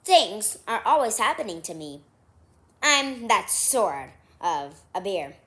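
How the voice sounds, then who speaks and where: A woman's voice: mid-range voice, sing-song, slow, fairly bright tone. A woman voicing a polite, earnest, clumsy young male bear from a storybook.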